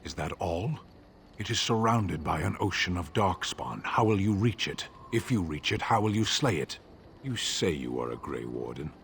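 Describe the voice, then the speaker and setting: A very deep voice, a towering, stern warrior from a fantasy game, with faint noise behind. He is stoic and blunt, sceptical and challenging in every phrase. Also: expressive, moderate pace, gravelly, fairly bright tone, full character voice. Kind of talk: fantasy video game acting.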